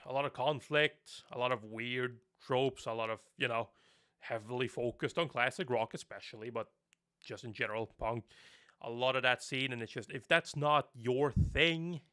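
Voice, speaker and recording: A man's voice: mid-range voice, a bit bright. Young Norwegian man chatting on stream. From Norway. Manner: rambling, self-correcting, laughs often. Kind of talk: casual live-stream chat.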